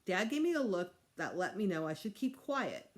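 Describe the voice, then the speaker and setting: Expressive woman reading a storybook aloud. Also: medium pitch, moderate pace. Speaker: teacher reading aloud to students.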